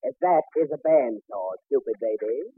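An actor playing a wisecracking, condescending husband and neighbor in an old-time radio sitcom. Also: male voice, mid-range voice, expressive, normal speed, natural voice. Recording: noisy, tinny old radio recording.

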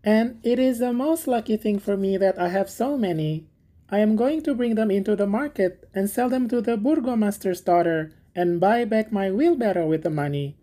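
A humble young male gardener from a storybook, high and eager, played by a woman reader. He sounds grateful and meek. The underlying voice stays mellow and soft. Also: animated, moderate pace, close to an everyday voice. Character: small kind poor young male gardener. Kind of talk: storybook reading.

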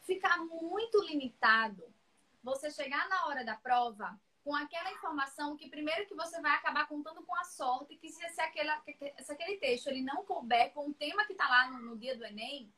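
Chatty high-voiced teacher woman. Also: lively intonation, fairly quick, pushed and bright, poor audio. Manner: chatty, reassuring, addresses viewers by name. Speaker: essay writing teacher. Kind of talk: live-streamed class, casual chat.

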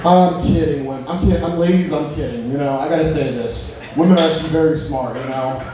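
A man's voice: fairly high pitch, a little fast. A young man doing a stand-up set at a bar open mic, working the crowd in a crude, rambling, self-mocking way. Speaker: stand-up comedian. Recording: echoey bar from far off, noisy.

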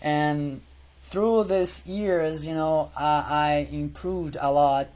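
A man's voice: fairly high pitch, fairly even intonation, slow, a little mellow. Hesitant Brazilian man learning English. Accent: Brazilian Portuguese. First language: Portuguese. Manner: hesitant, many fillers and repeated words. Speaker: guest. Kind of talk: casual chat in English with a friend.

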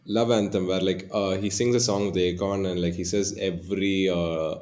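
Man in his twenties, very low voice, rambling, casual podcast co-host. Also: fairly even intonation, normal speed, a little mellow. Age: 20s. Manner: rambling, many fillers and restarts. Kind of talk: casual chat.

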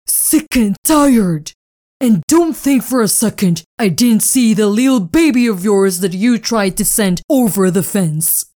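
A woman voicing a cruel, angry male landowner who shouts threats in a storybook reading. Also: mid-range voice, expressive, measured pace, voice pushed up, natural voice. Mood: furious.

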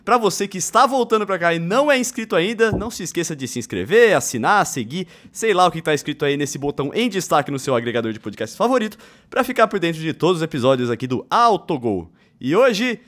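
A man's voice: a little high, a bit bright. A Brazilian man hosting a casual football podcast in a jokey way, with some theatrical flair. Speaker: podcast host.

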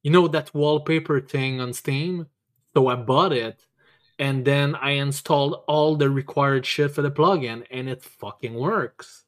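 A man hosting a casual chat in a halting, stammering way, with blunt swearing. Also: medium pitch. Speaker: podcast host.

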